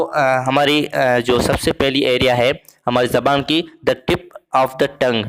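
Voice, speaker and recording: A man teaching a biology lesson, slow and halting with many fillers, reading English lines and then explaining them simply. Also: mid-range voice, rough recording.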